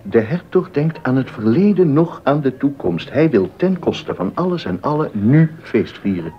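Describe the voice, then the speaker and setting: An actor playing a gossipy, sardonic court musician and tutor in a period docudrama, confiding and articulate. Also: male voice, medium pitch, big pitch swings, normal speed, soft background music, natural voice. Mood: resigned. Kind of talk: Dutch-language period docudrama acting. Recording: dull, muffled audio.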